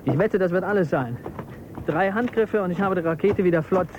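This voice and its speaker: A man acting a self-assured, guarded technician in a radio drama. He has a fairly high male voice with a casual, confident manner, muffled amid clear vehicle noise. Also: fairly quick, natural voice. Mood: confident, dismissive.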